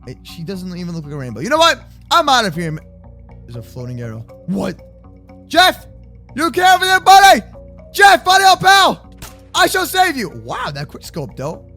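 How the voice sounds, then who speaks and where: A young adult man improvising a children's block-game roleplay with excitable, exaggerated, slangy banter. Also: high-pitched, normal speed, faint music behind. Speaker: host of kids' gaming roleplay channel.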